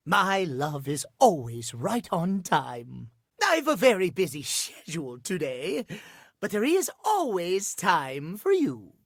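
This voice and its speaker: A man's voice: sits high, fairly bright tone, no echo, studio quality, full character voice. An actor voicing a fussy, prim male clock obsessed with schedules, speaking formally and precisely in a theatrical, old-fashioned way for a video game. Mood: cheerful, courteous. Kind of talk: video game character acting.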